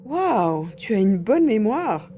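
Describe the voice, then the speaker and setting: A woman's voice: fairly high pitch, very animated, takes it slowly, mellow, a little hiss or hum. A woman playing an eager, stubborn young boy in a dramatised audiobook, speaking expressively and earnestly. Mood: amazed, curious. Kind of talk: dramatised audiobook, first-person narration and dialogue.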